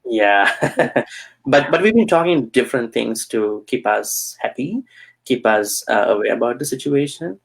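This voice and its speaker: A man from Sri Lanka, a Buddhist monk, answering interview questions with many pauses and fillers. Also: medium pitch, expressive, gravelly, slightly rough.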